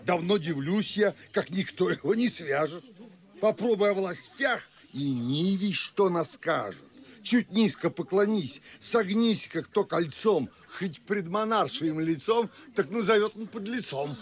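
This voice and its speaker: A man playing a pompous, indignant middle-aged gentleman in a radio verse comedy, blustering scornfully. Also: sits high, lively intonation, unhurried. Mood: indignant, boastful. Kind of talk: classic verse comedy radio play acting.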